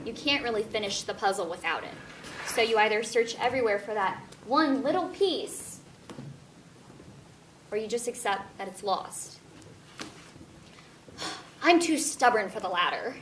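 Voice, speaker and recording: A woman's voice: fairly high pitch, lively intonation, measured pace, pauses often. A young woman acting in a one-act stage play as an earnest, stubborn adopted woman searching for answers. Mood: frustrated, determined. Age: young adult. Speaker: stage actor. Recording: distant echoey room recording, clear background noise.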